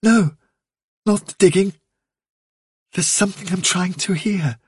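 Fey, high-voiced rabbit seer. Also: male voice, slightly rough, no echo, clean studio sound, heavily acted. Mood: distant, dreamy. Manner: slow, drowsy, muttering or yelping. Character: frail, feverish male rabbit seer in a trance. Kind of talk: audiobook character acting.

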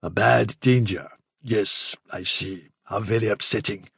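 An old chief rabbit from a storybook acted out by a man, slightly husky and closely recorded. He is courteous to a fault, vague and condescending, drawing out his phrases to put off whoever he addresses. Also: medium pitch, no room sound, clean studio sound. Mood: mildly patronising. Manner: bumbling, over-courteous, drawn-out patronising phrasing. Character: elderly male Chief Rabbit, politely condescending, vague and evasive.

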